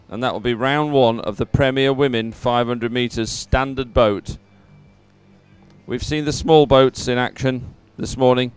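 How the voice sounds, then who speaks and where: Male live sports commentator, very clean smooth voice, mid-pitched, informal and encouraging.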